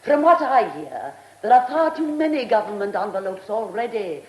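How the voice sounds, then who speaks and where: Actress as an imperious, high-voiced English lady. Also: animated, normal speed, darkish tone, close to an everyday voice. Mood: haughty, dismissive. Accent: upper-class English. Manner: haughty, cutting, emphatic. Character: imperious aristocratic lady of the manor, indignant, stubborn. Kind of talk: British radio drama acting. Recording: roomy, echoing radio drama studio.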